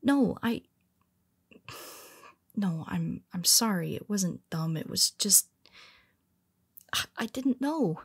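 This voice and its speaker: A woman voicing a young female superhero in an audio roleplay, speaking hesitantly in a husky half-whisper with many restarts. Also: mid-range voice, expressive, very slow, long pauses, dry recording, clean studio sound, full character voice. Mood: tender, confessional.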